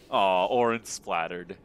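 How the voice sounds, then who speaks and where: A man giving casual, crude and excitable commentary as he hosts a video game playthrough. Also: sits low, clean tone. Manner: crude, sweary, excitable reactions. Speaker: let's play host. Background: video game audio.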